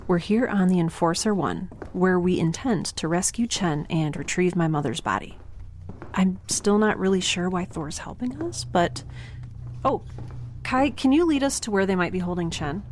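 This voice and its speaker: A young female scientist heroine played by an actress, with a slightly low voice. She is curious, awkward and chatty, rambling earnestly in an audio drama with faint ambience behind her. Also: normal speed, darkish tone, a little hiss or hum, close to an everyday voice. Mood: anxious, rambling. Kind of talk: naturalistic audio drama acting with narration.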